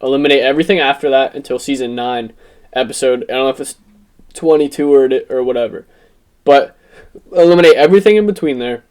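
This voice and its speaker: A man's voice: mid-range voice, darkish tone. A young adult man co-hosting a podcast, talking casually with friends in an emphatic, insistent way and often repeating himself. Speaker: podcast co-host. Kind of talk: casual chat among friends.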